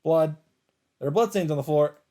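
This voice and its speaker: A man doing a let's play, reading a visual novel's narration aloud in a measured storytelling delivery. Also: on the high side, mellow.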